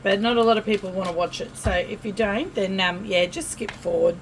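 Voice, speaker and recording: An Australian woman giving a casual tarot reading to camera, chatty and friendly, often pausing with fillers and restarting. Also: mid-range voice, a little hiss or hum, poor audio. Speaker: tarot reader.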